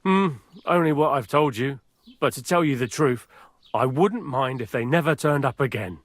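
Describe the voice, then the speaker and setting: Man playing medieval game bailiff, middle-aged, mid-pitched, garrulous, grumbling proud commoner, dry sound. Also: lively intonation, moderate pace, no echo, close to an everyday voice. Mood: grudging, suspicious. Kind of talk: video game voice acting.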